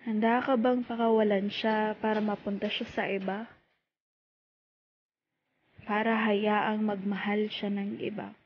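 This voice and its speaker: A woman with a Filipino accent performing heartfelt spoken-word poetry in short, deliberate phrases with pauses. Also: mid-range voice, little pitch movement, husky. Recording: tinny phone-like sound.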